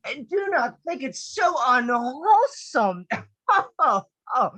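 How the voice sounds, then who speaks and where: A woman acting a vain, lighthearted male lieutenant in a Shakespearean radio play, with a husky voice and theatrical drawl. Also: sits high, very animated, takes it slowly, a bit bright, no room sound, full character voice. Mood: mocking amusement.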